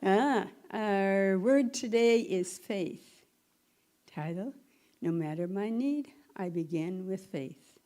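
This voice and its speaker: A woman slowly reading a daily devotional at a church service. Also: medium pitch, lively intonation, dark tone. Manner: slow, measured reading. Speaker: service reader. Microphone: microphone at a lectern.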